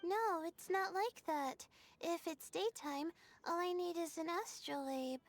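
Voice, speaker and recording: A woman's voice: very high voice, measured pace, soft background music. A woman voicing a shy, earnest, self-doubting young astrologer in a video game, speaking hesitantly and politely.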